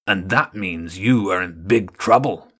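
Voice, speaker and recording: A man's voice: low-pitched, measured pace, bright, forceful tone, slightly rough, full character voice. A man voicing a cocky, threatening giant rat guard armed with a pike, in a storybook reading. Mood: menacing. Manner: threatening, boastful.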